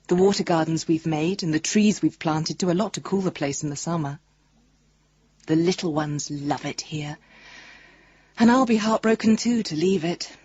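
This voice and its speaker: An actress playing an affectionate, gently teasing grown-up daughter with a husky voice, reading her letter in a radio drama. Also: sits low, no echo, dull audio.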